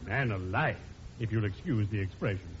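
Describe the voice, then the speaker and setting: An actor playing a morbidly genial undertaker in 1940s radio comedy, with a formal, ceremonious, droll manner. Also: male voice, lowish voice, normal speed, close to an everyday voice. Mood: mock-solemn, reproving. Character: morbidly genial, pompous small-town undertaker. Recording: muffled, noisy old radio recording.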